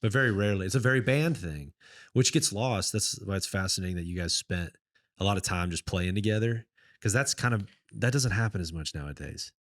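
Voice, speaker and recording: A man with a low voice hosting a podcast and chatting casually, in an enthusiastic, slangy, talkative way. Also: fairly quick, fairly bright tone. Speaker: podcast host. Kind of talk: casual chat.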